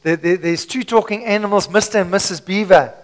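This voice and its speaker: A man's voice: sits high, brisk. A male pastor preaching a rousing sermon in a large church, calling the congregation to answer back. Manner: rousing, call-and-response preaching. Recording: long church hall reverb.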